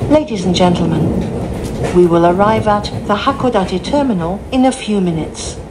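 Female train announcer, really slow and polite, lots of vehicle noise in the recording. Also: mid-range voice. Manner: formal, polite, carefully phrased. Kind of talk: scripted English onboard announcement. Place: inside a train, over the announcement system. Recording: noisy train cabin recording.

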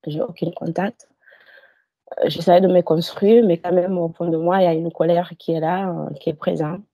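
A woman's voice: lowish voice, steady tone, normal speed, no echo, highs cut off. Hesitant woman on a video call. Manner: hesitant, many fillers and restarts. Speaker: hypnosis client. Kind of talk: telling her personal story.

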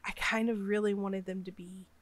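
A woman's voice: mid-range voice, little pitch movement, moderate pace. Sniffly woman with a Southern drawl. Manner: rambling, chatty, many fillers. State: sick, sniffly and congested. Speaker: game build narrator. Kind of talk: casual gameplay voice-over.

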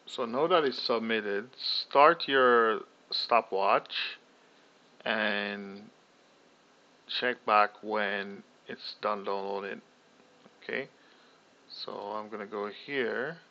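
A young man talks slowly through a screen-recorded software tutorial, thinking aloud and restarting his sentences. His voice is gravelly and bright with a lowish pitch. The audio is slightly dull and lo-fi, as from a desktop mic. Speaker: tutorial host.

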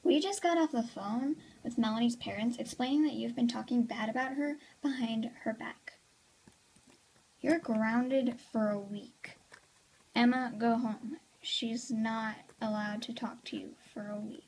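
A stern parent lecturing slowly and firmly, as a girl plays the role in a toy skit. The voice stays a little high despite the sternness. Faint noise sits underneath. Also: a little mellow, rough recording. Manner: firm, lecturing, slow.

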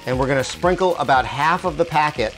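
A man in his forties hosting a cooking show, explaining each step calmly in a home kitchen with small restarts, on a lapel mic. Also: fairly high pitch, a little fast. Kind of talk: cooking demonstration. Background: soft music and sizzling wok.

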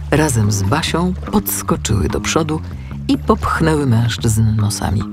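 A man reads a scripted children's story as narrator. His medium-pitched voice moves up and down a lot, in dramatic, expressive storytelling. Music plays clearly behind him. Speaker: audiobook narrator.

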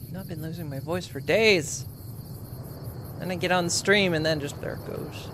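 A woman streamer talking casually to herself while playing a game, slightly hoarse and clearing her throat, recorded at a home desk mic. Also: fairly low pitch, normal speed, noisy background. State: losing her voice. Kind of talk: casual gameplay commentary.

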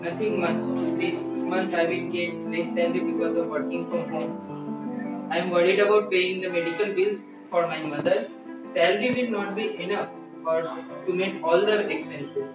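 A man's voice: high-pitched, steady tone, moderate pace, highs cut off, natural voice. A young man with an Indian accent playing a polite employee in an amateur student play, in an echoey space. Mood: worried. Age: young adult. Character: worried, proud, polite young male employee. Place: indoor stairwell and home. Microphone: phone camera at a distance. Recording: echoey, distant phone, music behind.

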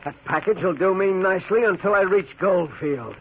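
A ruthless high-pitched bully, old radio. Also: male voice, moderate pace. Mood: eager. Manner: gruff, gloating, blustering. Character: ruthless, selfish, bullying stranded trekker, man. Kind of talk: 1940s radio acting. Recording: muffled, noisy 1940s radio recording.

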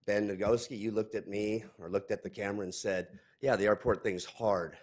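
A man's voice: on the low side, steady tone, a little fast, darkish tone. A man in his forties giving a heated, accusing public comment at a podium mic in a large council chamber.

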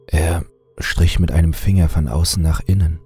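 A man's voice: low-pitched, steady tone, moderate pace, dark tone, breathy edge, faint music behind. A man reading a scripted horror story as narrator.

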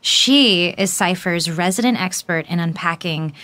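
A woman's voice: on the low side, measured pace, pushed and bright. A woman with an American accent playing an eager, teasing podcast host narrating a documentary mystery. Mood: eager, intriguing.

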